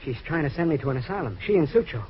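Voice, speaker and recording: An actor playing a nervous, paranoid husband who pleads anxiously and urgently, in an old-time radio drama. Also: male voice, a little high, very animated, rapid-fire. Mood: desperate, pleading. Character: nervous, paranoid husband begging a detective for help. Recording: dull, noisy vintage radio recording.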